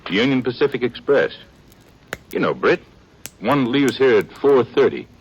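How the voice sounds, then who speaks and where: An actor playing a steady, plainspoken western sheriff in an old-time radio drama, folksy and measured, with an American accent. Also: male voice, medium pitch, slightly rough. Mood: weary, matter-of-fact. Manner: folksy, measured, repeats himself. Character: plainspoken, steady frontier sheriff, a grown man. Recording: muffled, noisy vintage radio recording.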